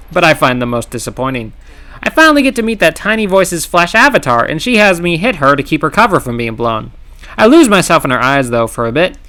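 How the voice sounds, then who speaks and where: A man narrating his own gameplay challenge in scripted voice-over, wry and sarcastic with playful dramatic pauses. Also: high-pitched, bright, forceful tone. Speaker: gaming channel host. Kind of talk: scripted comedic game commentary narration.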